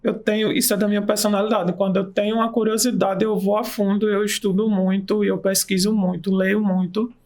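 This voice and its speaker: A man's voice: high voice, little pitch movement, fairly quick, few pauses, a little mellow. A man, an aromatherapy practitioner, telling his story with enthusiasm in a casual interview. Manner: enthusiastic storytelling, pace shifts. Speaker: aromatherapist and nurse, guest.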